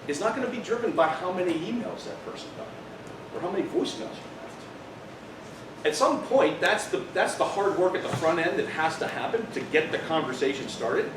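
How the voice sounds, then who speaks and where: A man's voice: sits high, animated, fairly quick. A man giving a seminar as a business trainer, explaining emphatically and posing rhetorical questions. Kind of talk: live seminar presentation. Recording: roomy, distant mic, clearly noisy.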